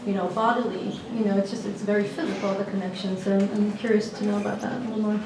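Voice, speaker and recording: A woman's voice: medium pitch, little pitch movement, fast, background noise. A woman acting as panel moderator, asking a long, rambling question and searching for words. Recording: distant mic in an echoey gallery with crowd ambience.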